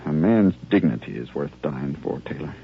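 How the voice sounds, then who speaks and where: A male actor playing an earnest army lieutenant who steadies his men in measured, rhetorical phrases, in a 1950s radio drama style. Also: very deep voice, expressive, vocal fry, a little hiss or hum, heavily acted. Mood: solemn, gentle. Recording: muffled old 1950s radio recording.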